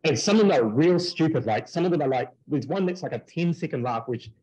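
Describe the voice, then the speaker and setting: A man researcher talking casually on a video call, with many fillers and quick yeps. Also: fairly high pitch, lively intonation, darkish tone, breathy edge, lo-fi sound. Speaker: researcher presenting a talk. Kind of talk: conference talk and Q&A. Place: indoors, small room. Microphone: headset on video call.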